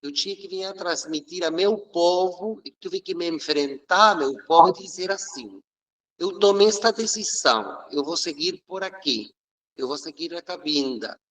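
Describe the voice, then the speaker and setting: A man's voice: a little high, a bit bright, poor audio. A Uruguayan man, a native Spanish speaker with a Uruguayan accent, telling his religious life story in an earnest, reflective way as an interview guest. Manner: earnest, reflective, occasional halting restarts. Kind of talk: emotional personal storytelling.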